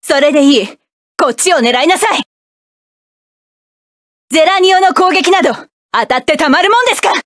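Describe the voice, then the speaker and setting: A proud, sharp-tongued mecha pilot. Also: female voice, high-pitched, lively intonation, normal speed, pauses often, no room sound, studio quality. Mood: fierce, commanding. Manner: curt, commanding barks. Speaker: game character. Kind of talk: video game battle voice lines.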